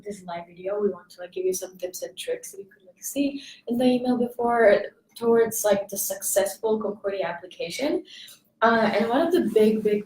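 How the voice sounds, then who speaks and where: A woman's voice: medium pitch, darkish tone. Young woman from Slovakia, student panelist, filler-heavy casual talk, distant mic. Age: young adult. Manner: chatty, many fillers. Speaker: admissions intern. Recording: echoey room, off-mic.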